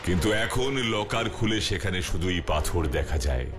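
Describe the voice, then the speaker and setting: A strong, self-assured superhero sleuth from a children's cartoon. His voice sits low and he lays out his reasoning in a measured, confident way. Clear music plays underneath. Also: expressive. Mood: calm explaining. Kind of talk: cartoon dub, explanatory dialogue.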